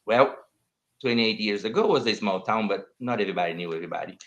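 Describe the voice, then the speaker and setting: Low-voiced older Brazilian man. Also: fast talker, a bit bright, dull audio. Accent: Brazilian Portuguese. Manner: rambling, restarts sentences, non-native phrasing. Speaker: interview guest. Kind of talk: casual conversation.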